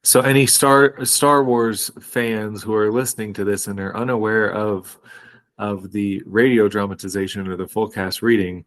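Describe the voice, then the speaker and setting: Man, lower pitch, casual podcast co-host, agreeable, conversational. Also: fairly even intonation, moderate pace, fairly bright tone. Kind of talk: casual chat.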